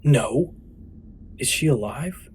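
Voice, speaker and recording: A man's voice: medium pitch, expressive, takes it slowly, a little hiss or hum. A man playing a weary, bewildered, exasperated character in an audio drama. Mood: wary.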